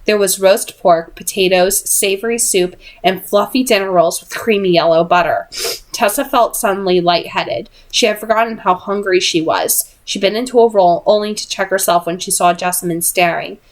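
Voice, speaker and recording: A woman reading a novel aloud, with excitable, giggly chatty asides. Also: medium pitch, moderate pace. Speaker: amateur reader of a novel aloud. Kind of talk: book read-aloud with chatty reactions.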